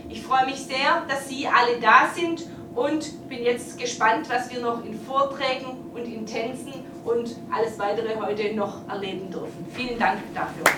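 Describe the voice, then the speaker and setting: High-voiced woman giving a welcome speech. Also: fairly even intonation, normal speed, hardly any pauses. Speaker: local official opening an exhibition. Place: event hall. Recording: echoey hall, distant, noisy.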